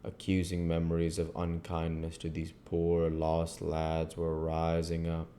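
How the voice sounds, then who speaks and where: A man's voice: low voice, monotone, unhurried, mellow, slight background noise. A man reading classic fiction aloud as a narrator. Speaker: audiobook reader. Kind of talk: scripted literary narration.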